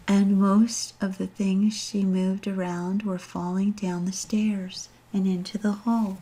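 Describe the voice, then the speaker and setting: A woman narrating a children's storybook as an amateur audiobook reader, with a husky, breathy voice and a soft, unhurried delivery. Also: fairly low pitch, rough recording.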